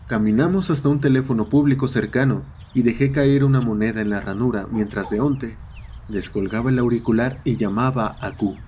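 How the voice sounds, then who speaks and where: A man's voice: medium pitch, normal speed, mellow, slight background noise. A man narrating a scripted horror story. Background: ambient sound effects.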